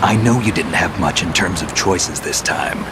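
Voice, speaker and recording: An actor playing a grizzled veteran soldier who calmly advises a younger man in a video game cutscene, speaking tersely and steadily. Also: low voice, moderate pace, noisy background. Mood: calm advice. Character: grizzled male veteran soldier, calm mentor. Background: loud orchestral music.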